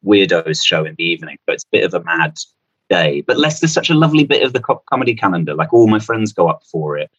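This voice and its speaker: A man's voice: low-pitched, husky, no room sound, lo-fi sound. A man chatting casually as a comedian guest, rambling through anecdotes in a self-deprecating way.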